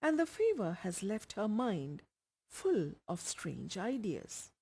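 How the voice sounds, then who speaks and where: A woman's voice: medium pitch, very animated, slow, vocal fry, darkish tone, husky, exaggerated voice. Woman reading as an anxious young woman. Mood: worried. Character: anxious, devoted young woman artist caring for friend.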